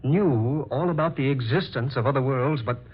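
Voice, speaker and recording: A man's voice: mid-range voice, moderate pace, slightly rough, natural voice. A man acting an anxious, wondering scientist colleague in an old-time radio drama. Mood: concerned, wondering. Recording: muffled old radio recording.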